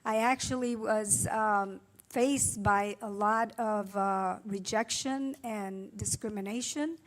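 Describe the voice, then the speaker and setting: A woman in her sixties from Puerto Rico, a native Spanish speaker, giving an earnest acceptance speech full of halting filler sounds. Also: medium pitch, steady tone. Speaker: award honoree.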